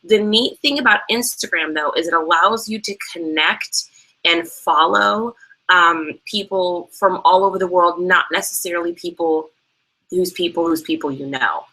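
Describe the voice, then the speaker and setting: A young woman hosts a casual online training, explaining things step by step in a chatty, filler-heavy way. Her voice is low for a woman but bright and forward. She laughs easily and keeps things informal. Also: moderate pace, low-quality recording. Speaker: co-host of an online sales training. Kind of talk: casual instructional talk.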